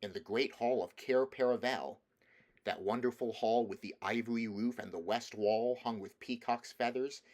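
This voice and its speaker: A man's voice: medium pitch, moderate pace, darkish tone. A man reading a children's novel aloud as scripted storybook narration. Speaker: audiobook reader.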